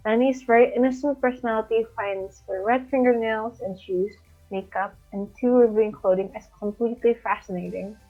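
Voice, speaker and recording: A woman's voice: on the high side, fairly even intonation, brisk, hardly any pauses. A young adult woman from Indonesia reading a prepared book summary aloud as a student. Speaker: student reader. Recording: thin phone-like audio with background music. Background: music.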